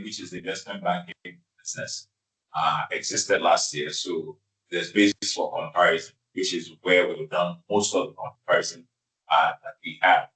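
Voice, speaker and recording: A man, speaking as an executive presenting financial results in a formal, halting, measured way. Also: sits low, sharp tone. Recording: distant, echoey conference call audio.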